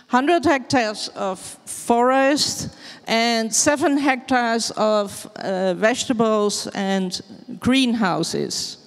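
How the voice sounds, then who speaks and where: A woman from the Netherlands with a Dutch accent, giving a slow, hesitant presentation in English with many fillers. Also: fairly high pitch, clear, resonant tone. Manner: hesitant, searching for words in English. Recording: long hall reverb.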